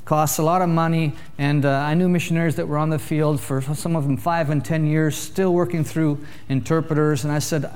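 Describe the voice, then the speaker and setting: A man preaching to a church congregation, telling jokey stories in a lively way. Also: on the high side, a little fast, few pauses, smooth. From Canada. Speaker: visiting preacher. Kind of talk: sermon with humorous storytelling.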